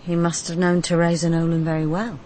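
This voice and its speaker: A woman's voice: lowish voice, completely flat delivery, fairly quick, darkish tone, slight background noise, close to an everyday voice. An actress playing a composed, probing female detective in a first-person radio crime drama.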